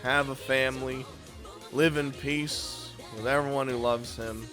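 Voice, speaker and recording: A man's voice: mid-range voice, steady tone, measured pace, a bit bright. A man giving a casual countdown review of games as host, talking informally and chuckling often between phrases. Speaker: host of a video game review series. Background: music.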